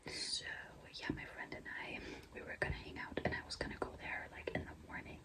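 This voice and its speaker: A young woman whispering in a breathy, mostly unvoiced voice, chatting confidingly and casually to viewers as a vlogger telling a personal story. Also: fairly quick. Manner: confiding, conversational, frequent "like" and "you know".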